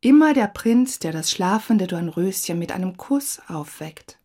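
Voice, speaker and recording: A woman's voice: fairly low pitch, expressive, a little slow, dark tone. A woman reading a reflective, scripted first-person narration.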